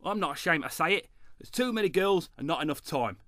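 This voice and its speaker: A man puts on a cheeky dog who fancies himself a ladies' man. His delivery is laddish and slangy, lively in pitch and bright in tone, recorded dry for radio comedy. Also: a little fast, no room sound. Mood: eager, cheeky. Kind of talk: comedy radio play acting.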